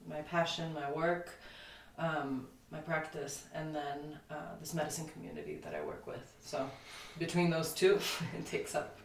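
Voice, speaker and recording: A woman in her thirties from Lithuania speaks reflectively, trailing through fillers and restarts. Her voice has a gravelly, fry-laden edge. She sounds set back from the mic in a reverberant room, with a faint hum of ambience behind her. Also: sits low, expressive, unhurried, far from the mic. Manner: reflective, rambling with many fillers. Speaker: guest. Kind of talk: casual interview conversation.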